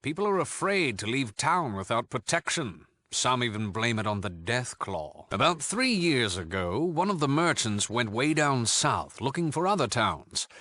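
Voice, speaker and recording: A witty British rogue who leads a gang in a video game. He is sardonic and disdainful, with a bright, animated delivery and a dry, close sound. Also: mid-range voice, moderate pace, few pauses, no echo. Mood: wry, scornful. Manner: wry, sardonic, flippant. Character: cheeky, worldly thief-gang leader, witty and disdainful. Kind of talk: video game character dialogue.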